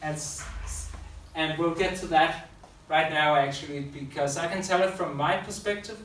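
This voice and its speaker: A man's voice: a little high, steady tone, moderate pace, fairly bright tone. A man giving a public talk to an audience, loose and unscripted, often correcting himself mid-sentence. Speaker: public speaker and school teacher. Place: room with audience. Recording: echoey room from far off, faint hiss.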